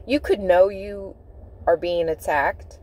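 A woman giving an intuitive tarot reading, speaking in deliberate, halting phrases with emphatic repetition. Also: fairly low pitch, a little mellow. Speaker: tarot reader. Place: inside a parked car.

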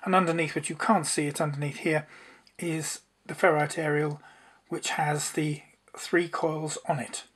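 A man's voice: fairly high pitch, little pitch movement, a little slow, a bit bright, slightly rough. A man presenting a casual, unscripted hands-on demonstration, explaining as he goes with some hesitancy. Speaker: presenter demonstrating a homemade radio.

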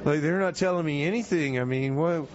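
A man's voice: fairly high pitch, close to an everyday voice. A man playing a gullible, indignant prisoner in comedy radio theater, chattering and repeating himself. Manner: quick, repetitive, protesting interjections. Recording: muffled lo-fi radio audio.

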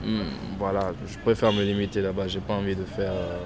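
A young man from Guinea, a painter, answering interview questions in a casual, hesitant way with many restarts and softly trailing phrases. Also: low voice, little pitch movement, a little fast. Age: young adult. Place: outdoors in front of a painted backdrop, street traffic nearby. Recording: outdoor street noise behind voice.

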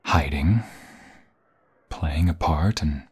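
A man playing an anxious, tender young vampire fiancé in an intimate ASMR roleplay, halting and emotional, often dropping into a breathy whisper. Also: rumbling low, exaggerated voice. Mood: urgent, pleading.

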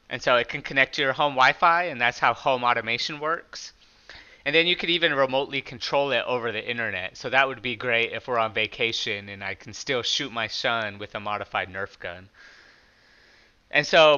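A man with a loud speaking voice, talking casually through his own programming project in a screen-recorded tutorial. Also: mid-range voice, clear, resonant tone, dull audio. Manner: plain, conversational explaining with small restarts.

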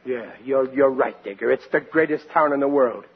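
An actor in a 1940s radio sitcom playing an excitable, dim, sentimental family man, blustering and plaintive in a colloquial way. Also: mid-range voice, moderate pace, husky. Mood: weary, sheepish. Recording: noisy, dull 1940s radio recording with audience.

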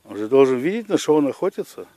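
A man's voice: medium pitch, very animated, fast, mellow, dry recording. A man filming outdoors at a lakeside, bossily calling out instructions and laughing. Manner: bossy, laughing, swearing, giving orders. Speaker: person filming a fishing trip. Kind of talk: casual outdoor banter.

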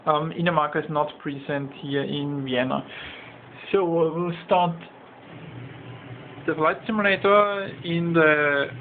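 A man with a somewhat high voice narrates an informal demonstration. He speaks hesitantly, often pausing in the middle of a sentence. The sound is narrow and tinny like a phone, with some faint noise behind him. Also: fairly even intonation. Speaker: demonstrator of a home-built flight simulator. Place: indoor home cockpit simulator room.

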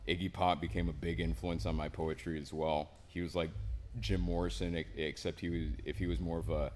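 Deep-voiced laid-back young man. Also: level tone, fairly quick, darkish tone, slight background noise. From Miami, Florida. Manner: laid-back, rambling, says "man" and "right?" often. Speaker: poet, interview guest. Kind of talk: casual interview. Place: small indoor studio with a painted mural wall.

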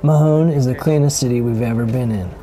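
A middle-aged man giving calm, scripted voice-over narration for a sailing travel vlog, explaining things with dry humor. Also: mid-range voice, a little fast, faint music behind.

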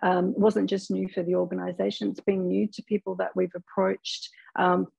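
A woman's voice: mid-range voice, little pitch movement, normal speed, vocal fry, darkish tone, husky, no room sound, dull audio. A middle-aged woman on a video call, earnest and explanatory with frequent ums, as a panelist. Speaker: aged care board panelist. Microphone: webcam on video call.

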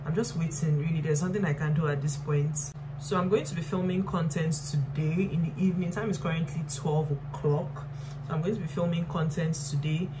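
A woman in her twenties with a Nigerian accent chatting casually to camera, pausing and repeating words for emphasis. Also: low-pitched. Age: 20s. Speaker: lifestyle vlogger. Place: small bright room indoors. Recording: clear background noise and hum.

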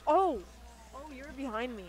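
A man's voice: very high voice, expressive, normal speed. A young man chatting casually as a commentator on a gaming livestream. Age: young adult. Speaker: esports stream commentator. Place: large indoor campus common area.

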